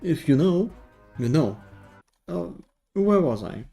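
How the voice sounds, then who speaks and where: A man's voice: on the high side, very animated, takes it slowly, creaky, mellow, soft background music. A man narrating a scripted video game review in a wry, tongue-in-cheek way.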